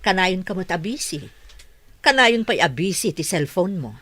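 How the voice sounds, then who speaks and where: A woman's voice: fairly low pitch, expressive, moderate pace, a bit bright. A woman acting in a radio drama as a discontented, complaining wealthy wife. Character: nagging, discontented wealthy wife wanting company.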